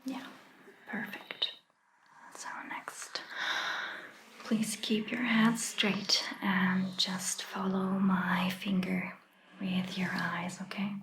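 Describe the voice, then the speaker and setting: A woman playing a gentle, attentive vampire doctor in a soft ASMR roleplay, whispering breathily and giving soothing, reassuring instructions. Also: medium pitch, level tone, drawn-out words, pauses often, echoey room, slight background noise. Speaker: ASMR performer.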